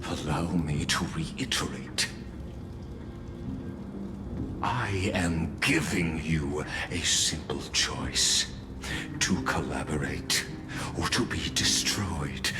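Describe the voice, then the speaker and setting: A man's voice: deep voice, loud music behind the voice. An actor playing a cold, grandiose male villain in a video game, speaking formally and with condescension. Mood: menacing calm. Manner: slow, formal, theatrical threats. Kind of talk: scripted video game acting.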